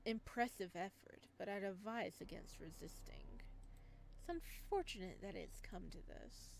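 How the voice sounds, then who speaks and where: A woman voicing a sinister male florist in a horror game, speaking softly with an unsettling calm, sometimes close to a whisper. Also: medium pitch, a little slow, creaky, slight background noise. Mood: coldly calm.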